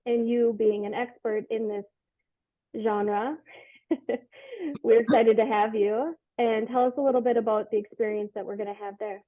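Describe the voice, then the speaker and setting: Female host, mid-pitched, conversational promotional interviewing, narrow tinny call sound with no room echo. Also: moderate pace. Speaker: interviewer and tour company host. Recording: tinny phone-like call audio, dry.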